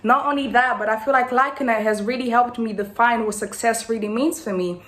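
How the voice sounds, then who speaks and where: A woman's voice: on the high side, steady tone, a little fast, fairly bright tone. A nineteen-year-old woman from Angola, raised in Portugal, giving an earnest testimonial. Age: late teens. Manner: earnest, reflective. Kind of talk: testimonial video.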